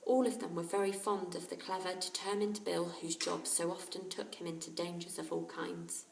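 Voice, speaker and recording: A woman reading a children's story aloud in an expressive, animated narrator's style. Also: on the low side, moderate pace, gravelly, a little hiss or hum. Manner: animated character voices and exclamations. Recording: roomy echo, distant microphone.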